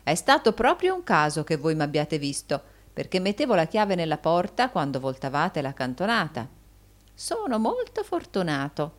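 A woman's voice: low-pitched, wildly swooping pitch, moderate pace. Woman as a cold, sardonic man of business. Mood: dry. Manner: dry, blunt, cutting.

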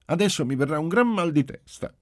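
A man's voice: a little high, expressive, brisk, no echo, clean studio sound, voice pushed up, natural voice. A man reading a story, voicing a willful, pouty little girl who talks with insistent, self-important emphasis. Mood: whining protest. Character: small girl, willful, pouty, bossy know-it-all.

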